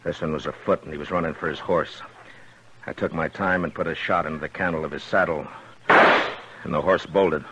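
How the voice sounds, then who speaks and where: An American actor playing a steady, terse US Marshal in a 1950s western radio drama, speaking with measured authority. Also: male voice, very deep voice, dull audio, background noise. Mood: grim, measured. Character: grim, steady male US Marshal of the old West. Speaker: lead actor and first-person narrator. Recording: band-limited old radio recording, music behind.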